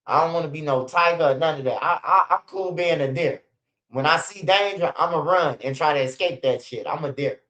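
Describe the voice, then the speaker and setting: A man's voice: on the high side, fairly quick, bright, forceful tone. A man, hosting a livestream and telling street stories in a blunt, profane, casual way, dropping "bro" and "man" often. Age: 40s. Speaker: host of a street news channel.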